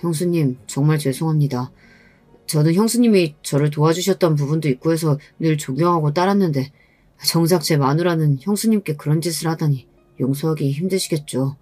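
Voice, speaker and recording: A woman's voice: low-pitched, brisk, darkish tone, no room sound, faint music behind. A woman voicing an earnest, apologetic younger brother-in-law, polite and contrite, in a narrated family story. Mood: remorseful. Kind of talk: acted dialogue in a narrated story.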